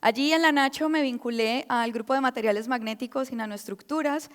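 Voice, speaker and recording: A woman's voice: high voice, fairly quick. A young woman from Colombia with a Colombian accent, a researcher giving a friendly public talk with frequent hesitations. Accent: Colombian Spanish. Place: lecture hall. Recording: reverberant hall.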